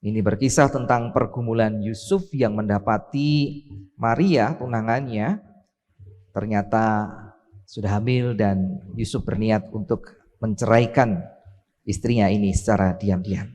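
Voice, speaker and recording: A man's voice: lowish voice, measured pace, pauses often, darkish tone. Solemn man leading church worship. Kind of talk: prayer and scripture reading. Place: church sanctuary. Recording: church hall reverb.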